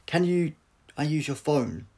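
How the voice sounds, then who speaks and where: A man's voice: fairly high pitch, expressive, a little slow, mellow, pitched above the performer's own voice. A man playing a brainy, eccentric male adventurer in an audiobook, exclaiming with enthusiasm. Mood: sudden excitement.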